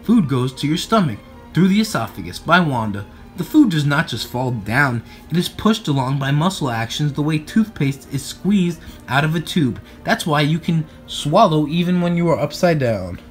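A man reading aloud to children in an expressive, playful storybook style. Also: fairly high pitch, moderate pace, soft background music. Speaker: storybook reader. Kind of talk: animated read-aloud narration for children.

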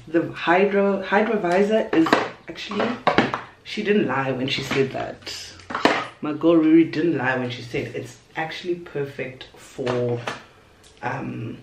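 A woman's voice: sits low, distant mic, slight background noise. A young woman chatting casually through a makeup tutorial at her vanity, playful and talkative. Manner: chatty, playful asides, talks while applying makeup. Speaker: beauty vlogger. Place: bedroom vanity table, indoors. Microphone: camera on the desk, a little away. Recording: roomy echo, voice a bit off-mic.

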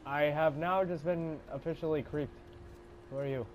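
A young man with a congested voice giving casual, swearing commentary while playing a game, mostly low and mumbled with sudden loud outbursts. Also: fairly even intonation, normal speed, slight background noise, poor audio. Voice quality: congested, stuffy. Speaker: gamer playing a shooter game.

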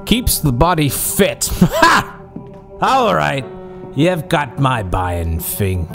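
Casting: pompous, obsessed mad scientist for horror audio drama, highly animated delivery, music underneath. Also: male voice, very slow, heavily acted. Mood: gleeful. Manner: pompous, florid lecturing. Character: grandiose mad scientist doctor, obsessed and theatrical.

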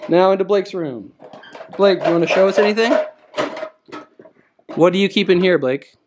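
A man's voice: sits high, normal speed. An American man in his thirties, a father chatting casually and playfully to his kids as he narrates for a home vlog. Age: 30s. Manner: playful, coaxing parent talk. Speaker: father hosting a family vlog. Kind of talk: casual narrated house tour, chatting to children. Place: indoors, rooms of a family house. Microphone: handheld camera at arm's length. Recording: noisy handheld home video.

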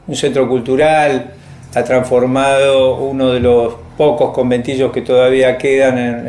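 A man's voice: mid-range voice, a little mellow, a little hiss or hum. A man introducing and questioning a guest in a conversational style. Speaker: interview show presenter. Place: book-lined indoor room, seated. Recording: echoey room pickup.

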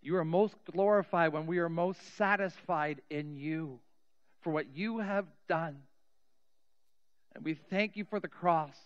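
A middle-aged man preaching as a pastor in a church sanctuary, telling a story earnestly and slowing down with soft, trailing endings. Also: fairly high pitch, clear, resonant tone, dull audio. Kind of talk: sermon storytelling and spoken prayer. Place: large church sanctuary, indoors. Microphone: cheek headset mic.

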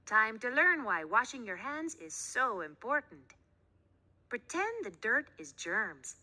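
A woman voicing a patient female schoolteacher in a storybook, instructing clearly and emphatically. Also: a little high, big pitch swings, slow, sharp tone, pitched above the performer's own voice, exaggerated voice. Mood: encouraging.

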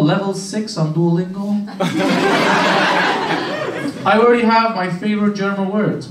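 A young man from Romania doing a stand-up set on a small club stage with a handheld mic, delivering deadpan punchlines and chatting with the crowd. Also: high-pitched. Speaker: stand-up comedian. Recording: roomy club sound, camera far off. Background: audience laughter.

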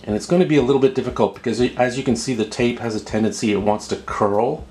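A man's voice: mid-range voice, fast, darkish tone, slight background noise. Echoey man giving how-to instructions. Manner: patient, explanatory, repeats himself. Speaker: host of a how-to video. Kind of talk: step-by-step tutorial narration, unscripted. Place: indoor room at a table. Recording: roomy, echoing indoor voice recording.

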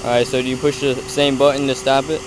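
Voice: medium pitch, flat delivery, quick pace, noisy background. A helper holding the camera, chatting casually and asking amazed questions outdoors. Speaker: helper filming. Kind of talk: casual chat. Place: outdoors under oak trees.

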